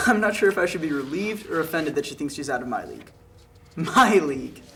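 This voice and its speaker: A man's voice: on the high side, very animated, brisk, gravelly, sharp tone, full character voice. A young man acting a blunt, sarcastic college buddy in a table read, with joking, slangy delivery. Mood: casual, incredulous. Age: young adult. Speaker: actor at a script table read. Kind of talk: casual dialogue read aloud from a script. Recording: noisy room recording.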